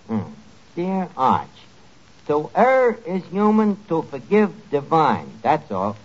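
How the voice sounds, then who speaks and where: An actor playing a scheming, wisecracking tavern manager with a dry, mock-formal way of mangling grammar in 1940s radio comedy. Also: male voice, on the high side, very animated, slow pace, heavily acted. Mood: dry, grumbling. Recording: muffled, noisy 1940s radio recording.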